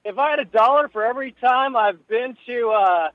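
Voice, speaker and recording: A man's voice: extremely high pitch, fairly quick, no echo, phone-quality sound. A man from the Pacific Northwest with an American accent, chatting casually and in a rambling, folksy way as a radio guest. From Pacific Northwest, USA. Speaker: guest, baseball radio host. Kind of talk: casual radio interview chat.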